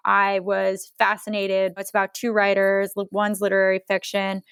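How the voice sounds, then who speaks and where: Female podcast guest, author. Crisp, resonant tone, effusive and conversational, tight dry recording. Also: mid-range voice, completely flat delivery, normal speed, fairly bright tone, dry recording. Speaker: guest novelist. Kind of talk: casual interview conversation.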